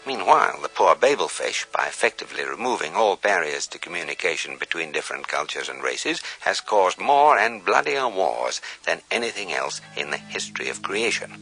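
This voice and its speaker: A man's voice: low voice, moderate pace, few pauses, faint music behind, full character voice, rough recording. A man playing a dry, erudite guidebook narrator in a radio comedy, with an English accent. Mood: dryly amused. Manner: deadpan, erudite.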